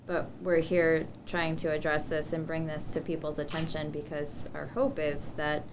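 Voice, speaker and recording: A woman gives a halting statement to the press. Her voice is low and flat, with clear noise around her. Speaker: veterans group member at news conference. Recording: noisy room ambience.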